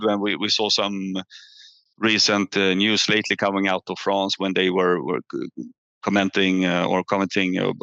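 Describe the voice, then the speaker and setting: A man presenting company results as an executive on a call, halting and often repeating words as he searches for phrasing. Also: sits low, vocal fry, sharp tone, clean tone. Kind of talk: earnings call presentation and answers. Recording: muffled, echoey room on online call.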